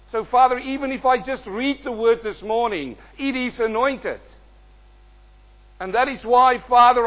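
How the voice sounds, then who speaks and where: Dull-recorded preacher quizzing his congregation. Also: high-pitched. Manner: calls out questions to the congregation; swells loud in prayer, drops soft at amens. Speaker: guest preacher. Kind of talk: sermon with prayer.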